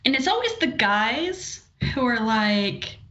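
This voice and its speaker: A woman's voice: a little high, big pitch swings, takes it slowly, a bit bright. A woman co-hosting a podcast, chatting casually with a wry, opinionated, informal manner. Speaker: podcast co-host. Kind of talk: casual chat. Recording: roomy, dull lo-fi sound.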